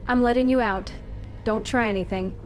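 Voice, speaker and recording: A woman's voice: fairly high pitch, fairly even intonation, normal speed, faint music behind, close to an everyday voice. A woman voicing a stern young female elf warrior hero, speaking firmly and tersely. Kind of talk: fan-voiced game protagonist.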